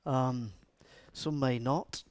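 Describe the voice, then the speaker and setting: A man's voice: mid-range voice, fairly even intonation, drawn-out words, no room sound. A middle-aged man from Liverpool with a Scouse accent, speaking live to a conference audience in a chatty, joking way and asking them questions. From Liverpool, England. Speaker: conference speaker and agile coach. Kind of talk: live conference talk to a room.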